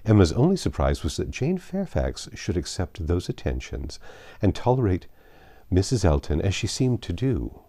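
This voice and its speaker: Deep, mellow male audiobook narrator. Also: very animated, moderate pace. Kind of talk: literary narration.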